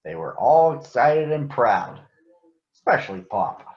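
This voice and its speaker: A man reading a children's story aloud in a deliberate, expressive storytelling manner. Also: medium pitch. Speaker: storybook reader for children on a recurring show. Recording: echoey room, slightly dull sound.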